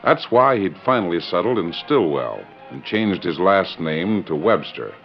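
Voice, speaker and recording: A man's voice: sits low, big pitch swings, measured pace, faint music behind. A man narrating a western radio drama in scripted, dramatic 1940s style. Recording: dull 1940s radio recording.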